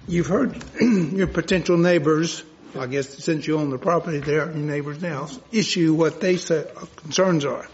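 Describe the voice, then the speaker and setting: Raspy board member, deliberate questioner, higher-pitched male, lo-fi. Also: a little mellow. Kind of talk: public hearing questioning. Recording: lo-fi hearing recording with faint noise.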